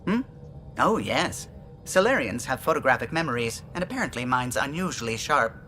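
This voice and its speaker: A man's voice: mid-range voice, sing-song, moderate pace, bright, forceful tone. An actor playing a male alien starship pilot in a video game, chatty, precise and fond of reminiscing. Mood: nostalgic. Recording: music behind the voice.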